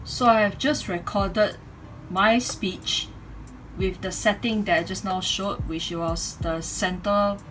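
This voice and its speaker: A woman in her twenties with a Singaporean accent, speaking as an amateur reviewer into a microphone in a room at home. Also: mid-range voice. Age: 20s. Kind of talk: casual microphone review with read-aloud speech samples. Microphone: USB condenser microphone. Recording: home USB microphone recording, clear background noise.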